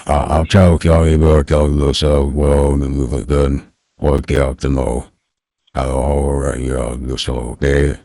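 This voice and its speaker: A man's voice: level tone, warm tone, no room sound, heavily acted. A man playing a rambling old backwoods Southern man on a prank call, mumbling and slurring through a voice changer. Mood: rambling, folksy. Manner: rambling, halting, repeats words. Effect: pitch-lowering voice changer. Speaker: scam baiter. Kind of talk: prank phone call in character.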